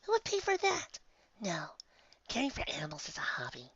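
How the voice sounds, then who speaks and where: A performer voicing a shy, naive, gentle female pegasus pony in a soft, hesitant, breathy voice for a fan story reading. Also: mid-range voice, wildly swooping pitch, normal speed, dry recording, voice pushed up, exaggerated voice. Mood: mild, innocent. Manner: timid, hesitant, mild. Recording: slightly dull home recording.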